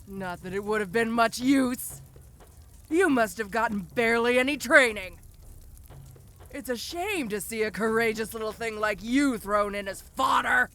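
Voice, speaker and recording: Bright-voiced, teasing giantess ogre played by a woman. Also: a little high, measured pace. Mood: mocking. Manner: casual, teasing, condescending. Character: tough, domineering giantess ogre warrior, teasing captor. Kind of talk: fantasy audio roleplay addressed to the listener.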